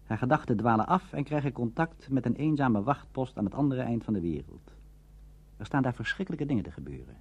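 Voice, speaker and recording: A man presenting a documentary on radio drama as scripted narration, formal and expository, with a husky voice. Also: mid-range voice, expressive, brisk, highs cut off.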